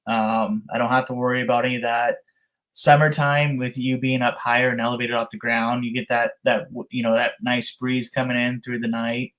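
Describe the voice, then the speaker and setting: A man's voice: mid-range voice, level tone, moderate pace, fairly bright tone, lo-fi sound. Down-to-earth man in his thirties. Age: 30s. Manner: folksy, down-to-earth, colloquial. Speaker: guest, outdoor camping creator. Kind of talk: casual live-stream chat about camping.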